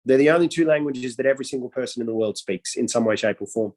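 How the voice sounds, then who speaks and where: A man's voice: medium pitch, fast talker, a little mellow. A young man, originally from Iraq, telling his life story as an interview guest in an earnest way with the odd joke. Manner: earnest and storytelling, with playful jokes. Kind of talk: casual interview talk.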